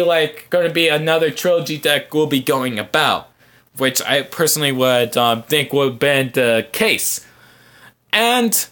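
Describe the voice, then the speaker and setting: A man hosting a casual fan podcast, answering viewer comments in a halting, rambling way as he thinks aloud. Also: a little high, a bit bright. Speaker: podcast host.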